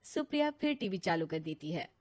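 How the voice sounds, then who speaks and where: A woman's voice: fairly low pitch, big pitch swings, fairly quick, darkish tone. A woman reading scripted narration for a story.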